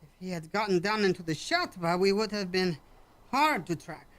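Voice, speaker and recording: An actor in a sci-fi radio play voicing a calm, formal alien hunter from a cave-dwelling village, with measured, stilted phrasing. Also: medium pitch, natural voice. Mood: grave, calm.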